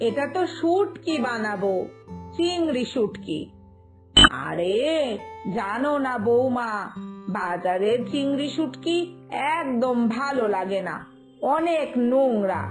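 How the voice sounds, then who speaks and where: A homely village mother-in-law from a cartoon family story, acted by a woman. She speaks in a high voice, practical and instructive, with faint music underneath. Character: homely, practical mother-in-law, matter-of-fact about cooking. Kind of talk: Bengali cartoon village family tale acting.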